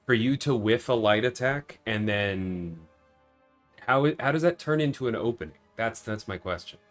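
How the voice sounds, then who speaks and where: A man's voice: lowish voice, animated, moderate pace, a bit bright, soft background music. A man livestreaming a video game, chatting casually and thinking aloud as he reasons with viewers. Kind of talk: casual livestream gameplay chat.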